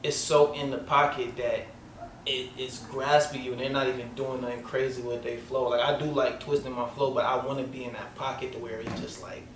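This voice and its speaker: A man's voice: medium pitch, little pitch movement, fairly quick. Man with a light voice, echoey noisy room. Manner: loose and rambling, heavy on filler "like" and street slang. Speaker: podcast host. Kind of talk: casual interview chat. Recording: echoey room, mic at a distance, noisy background.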